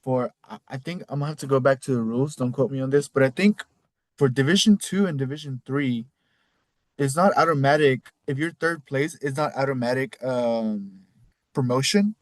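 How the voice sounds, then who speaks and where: Man, Mexican accent, solo podcast host, loose rambling delivery, mid pitch, close dry mic. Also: normal speed, no room sound. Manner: rambling, loose, cusses casually. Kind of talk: casual solo recap talk.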